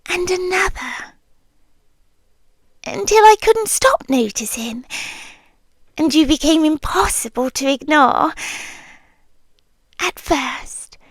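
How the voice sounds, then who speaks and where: A woman with a British accent voicing an ancient, lonely monster girl who is tenderly obsessed, in breathy, wistful, hushed ASMR roleplay. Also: high-pitched, no room sound, heavily acted. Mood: tender, awed, then fond, obsessive. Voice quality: breathy, often dropping into whisper. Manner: hushed, deliberate, wistful storytelling with pauses. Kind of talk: slow intimate ASMR roleplay. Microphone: close, dry microphone.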